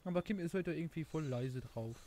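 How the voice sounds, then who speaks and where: A man's voice: on the high side, fairly quick, warm tone, a little hiss or hum. A young man from Luxembourg with a Luxembourgish accent, chatting casually while streaming a game, rambling and hunting for words. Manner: rambling, laughing, self-mocking, searches for words. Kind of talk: casual gameplay stream chat.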